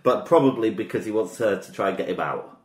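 A man's voice: on the low side, fast talker. A British man co-hosting a podcast in casual comic chat, teasing and playful. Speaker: podcast co-host. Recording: roomy echo.

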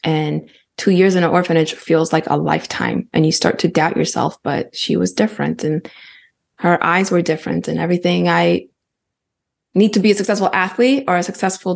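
Gravelly-voiced American woman, lively. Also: fairly low pitch. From Ukraine. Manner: animated, laughs often, quick bursts of speech. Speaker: guest, Paralympic athlete. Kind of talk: casual podcast conversation.

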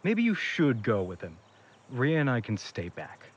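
A man playing a hurt, quietly jealous young man in an audio drama, speaking in a hesitant, resigned way. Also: medium pitch, wildly swooping pitch, unhurried, breathy edge, highs cut off, exaggerated voice. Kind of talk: naturalistic audio drama acting.

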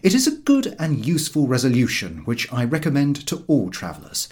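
Man narrating with lively, swooping intonation. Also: mid-range voice, fairly quick. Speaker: audiobook narrator. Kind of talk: scripted narration of a humorous story.

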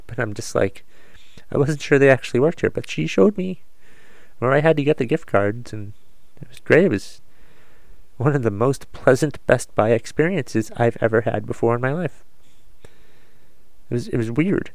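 A man's voice: medium pitch, gravelly, no echo. A man with a cold, slightly congested, hosting his own podcast in a rambling, self-deprecating, casual way. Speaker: podcast host. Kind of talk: casual solo podcast monologue.

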